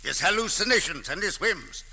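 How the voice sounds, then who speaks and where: A man's voice: high-pitched, very animated, moderate pace, vocal fry, bright, forceful tone, breathy edge, heavily acted. An actor playing a stern, commanding English king who declaims archaic speech in an old radio drama. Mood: stern, decreeing.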